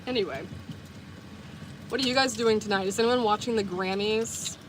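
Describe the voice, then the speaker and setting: A young woman from the US South talks with her viewers in a loose, rambling way, saying "like" often and correcting herself mid-thought. Her pitch sits in an ordinary middle range. A clear steady hiss of background noise runs under the recording. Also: normal speed. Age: 20s. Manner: chatty, rambling, full of "like" and self-corrections. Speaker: vlogger and livestreamer. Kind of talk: casual chat with viewers.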